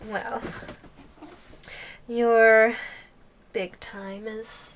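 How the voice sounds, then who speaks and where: A woman's voice: a little high, little pitch movement, very slow, a little hiss or hum. A woman playing a playful, possessive giantess girlfriend in an ASMR audio roleplay, giggly and coy with lingering hums. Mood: teasing, menacing.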